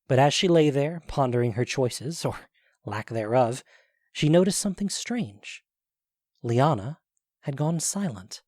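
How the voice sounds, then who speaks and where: Expressive male story narrator. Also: medium pitch, no room sound, studio quality.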